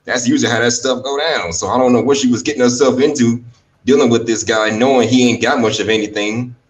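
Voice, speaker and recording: A man's voice: mid-range voice, steady tone, fast. A man giving a critical, colloquial opinion monologue as a panel guest. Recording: distant from the mic.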